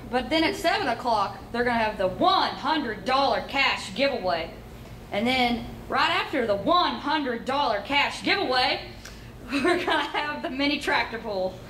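A woman's voice: high voice, expressive, measured pace, fairly bright tone. A young woman reading a schedule of fair events in a chatty scripted skit. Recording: echoey room, distant mic, noise.

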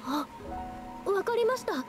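High-voiced gentle young detective girl. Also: sing-song, a little slow, heavily acted. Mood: thoughtful. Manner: soft, polite, careful explaining. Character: gentle, earnest young woman painter turned amateur detective. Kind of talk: Japanese anime game voice acting. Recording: game dialogue over music.

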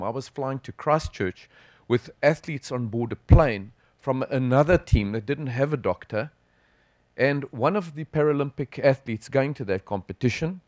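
A man's voice: mid-range voice, dry recording. Middle-aged south african guy lecturing from a podium, chatty and emphatic, dry sound. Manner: conversational, animated with gestures, emphatic pauses. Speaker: sports physician lecturer. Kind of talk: conference lecture. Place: conference hall stage at a lectern. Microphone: lectern microphone.

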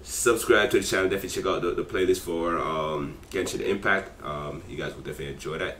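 A man's voice: deep voice, fast talker, fairly bright tone. A young man giving casual, slangy reaction commentary as a video host. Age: young adult. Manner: slangy, says "man" often. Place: small room indoors.

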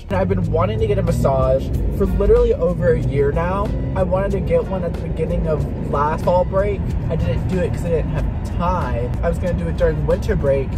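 A man's voice: on the high side, low-quality recording. Young guy talking to the dash cam while driving, chatty and self-mocking, music underneath. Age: young adult. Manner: chatty, self-mocking, jumps into loud sing-song bits. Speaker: vlogger. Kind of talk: casual chat while driving. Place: inside a car. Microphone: camera on the dashboard.

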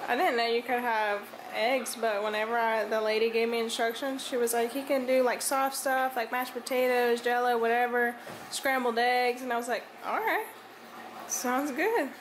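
A woman's voice: fairly high pitch, fairly even intonation, moderate pace, a little hiss or hum, poor audio. A woman chatting casually from behind the camera in a home vlog. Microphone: behind the handheld camera. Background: music.

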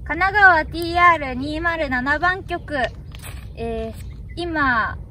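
Young woman, really high bright voice, polite radio callouts outside, bit of background noise. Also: slow pace. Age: 20s. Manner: polite, formal phrasing. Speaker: amateur radio operator. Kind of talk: polite radio contact calls. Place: outdoors on a hillside roadside.

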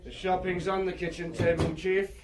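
An actor playing an obliging, deferential junior detective in a radio drama. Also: male voice, sits high, flat delivery, normal speed, a crowd in the background, lightly acted, poor audio.

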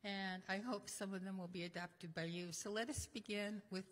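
A woman's voice: medium pitch, fairly even intonation, moderate pace. An older woman minister earnestly introducing speakers from a church lectern. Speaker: minister leading the service. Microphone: lectern microphone. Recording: echoey church hall.

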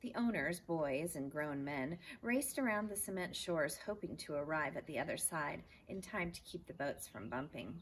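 Expressive, lower-pitched woman reading a story. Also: moderate pace, few pauses, poor audio. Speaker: teacher reading aloud. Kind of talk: children's chapter book read-aloud. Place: outdoors in a backyard garden. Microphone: camera a few feet away. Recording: faint outdoor background noise.

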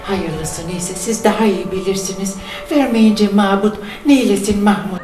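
A woman's voice: medium pitch, unhurried, reverberant, over music, a crowd in the background, lightly acted. A woman playing an exasperated childless wife. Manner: complaining, exasperated. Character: frustrated childless wife praying for a baby.

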